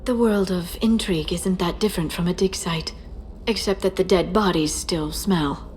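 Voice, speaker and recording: A woman playing a cool, composed information broker in video game dialogue, measured and dry. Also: fairly low pitch, a little mellow, a little hiss or hum, lightly acted, poor audio.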